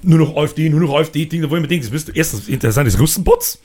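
Bavarian male co-host, slightly high-pitched, blunt, rambles with stammered restarts. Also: expressive, racing, a little mellow. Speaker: podcast co-host. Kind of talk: casual chat.

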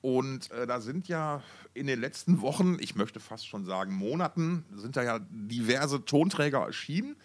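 A man's voice: mid-range voice, animated, normal speed, few pauses. A middle-aged man co-hosting a music podcast in casual, unscripted chat, enthusiastic and colloquial, often repeating his words.

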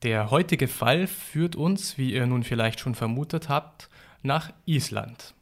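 A podcast co-host calmly explaining background facts in a true crime episode. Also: mid-range voice, a little slow.

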